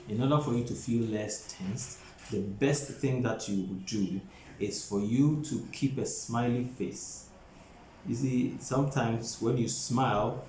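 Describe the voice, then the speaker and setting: A man in his thirties lecturing as an exam preparation tutor, speaking deliberately and repeating phrases for emphasis. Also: medium pitch, a little mellow. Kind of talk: instructional lecture to camera. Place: small plain indoor office. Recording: roomy echo, faint background noise.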